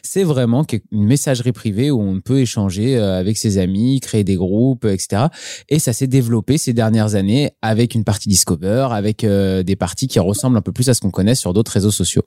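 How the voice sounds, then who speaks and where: A man's voice: fairly low pitch, brisk, dark tone, no echo, clean studio sound. A man co-hosting a marketing podcast, chatting casually, with many hesitation fillers as he lists statistics. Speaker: podcast co-host.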